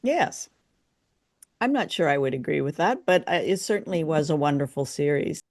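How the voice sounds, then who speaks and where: A woman's voice: low voice, lively intonation, normal speed, darkish tone, no room sound, studio quality. A woman co-hosting a casual review chat, speaking in a musing, wry way. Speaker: podcast co-host.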